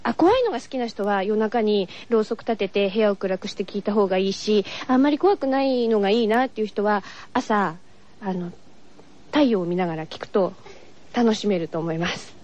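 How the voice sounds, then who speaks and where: A slightly muffled radio recording of a woman voice actress. Her voice is mellow and mid-pitched, and she gives a polite, unrehearsed interview comment with some hesitation. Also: a little fast. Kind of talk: informal promotional interview comment.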